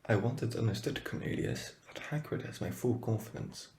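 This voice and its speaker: A man playing a grave old wizard headmaster in an audio drama, speaking softly and formally with a husky, breathy voice. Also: fairly low pitch, lively intonation. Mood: grave, quiet. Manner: soft-spoken, measured, formal. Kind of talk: fan audio drama acting.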